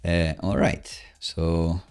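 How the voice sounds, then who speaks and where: A man with a low, unhurried voice talks through his code on a casual livestream. He muses to himself haltingly, in a mellow tone, with soft music and typing behind him. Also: completely flat delivery, clear, resonant tone. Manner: hesitant, halting, self-musing. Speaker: live-coding streamer and host. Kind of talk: casual livestream coding commentary, thinking aloud. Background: soft background music, computer keyboard typing.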